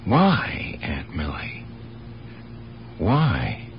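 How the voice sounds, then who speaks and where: An actor playing a calm, regretful police lieutenant in a radio drama, questioning in a measured, sympathetic way. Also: male voice, low-pitched, heavily acted. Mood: troubled, probing. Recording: muffled, hissy old radio broadcast.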